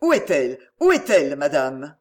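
A woman's voice: medium pitch, very animated, moderate pace, close to an everyday voice. A woman voicing an anguished middle-aged bourgeois father in an audiobook, formal and urgent in manner. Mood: anxious, pleading. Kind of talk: acted audiobook dialogue.

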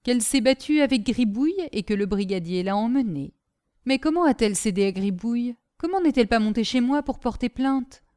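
A woman voicing a kindly, fatherly gentleman, master of a household, in a storybook reading with a concerned, paternal manner. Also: fairly high pitch, lively intonation, brisk, voice pushed up, natural voice. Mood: amused, curious. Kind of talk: audiobook character acting.

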